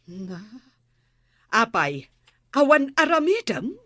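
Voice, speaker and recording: A woman's voice: a little high, sing-song, takes it slowly, sharp tone, no room sound, clean studio sound, heavily acted. A woman acting a scolding, sarcastic village captain's wife in a radio comedy drama, with an Ilocano accent.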